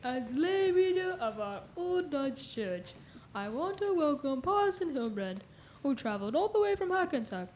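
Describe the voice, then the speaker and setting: A woman's voice: sits high, very animated, normal speed, a little mellow. A young female performer playing a formal, welcoming church lay reader in a school radio play. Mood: ceremonious. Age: young teenager. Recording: roomy echo, noisy ambience.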